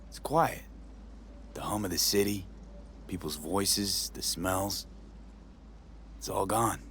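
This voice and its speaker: A man's voice: low voice, takes it slowly, fairly bright tone, a little hiss or hum, heavily acted. A man voicing a wry, cocky young mercenary in a video game cutscene, dry and sardonic. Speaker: game character. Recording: game audio with music behind.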